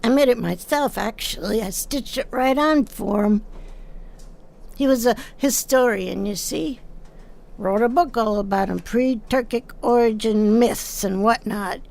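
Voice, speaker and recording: An actress playing a chatty, bossy older seamstress in an audio drama, brash and given to scolding exclamations. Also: female voice, fairly high pitch, unhurried, gravelly, darkish tone. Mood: wistful, proud.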